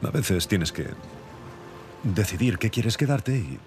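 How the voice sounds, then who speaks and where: Weary adventurer brother, European Spanish accent, low voice, sincere, reassuring, music underneath, game drama. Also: male voice, lively intonation, slow pace. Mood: wistful, reassuring.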